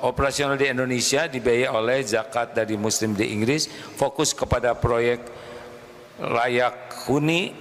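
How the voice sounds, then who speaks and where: Preacher in a reverberant hall. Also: male voice, medium pitch. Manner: stumbles over words, chatty asides. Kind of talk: casual sermon talk. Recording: long hall reverb, faint noise.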